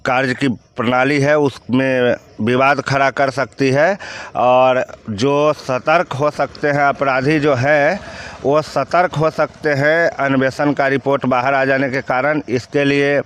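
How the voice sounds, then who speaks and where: A man's voice: mid-range voice, steady tone, unhurried, fairly bright tone, smooth. A man with a Bihari accent giving blunt, outspoken opinions as a news commentator answering questions.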